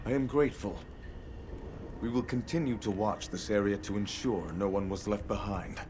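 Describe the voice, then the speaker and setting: An actor playing a dignified, watchful guardian of a desert village in a video game, with formal, old-fashioned phrasing. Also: male voice, on the low side, moderate pace, background noise, natural voice. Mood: grateful, earnest. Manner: formal, stately, archaic phrasing. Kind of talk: video game cutscene acting.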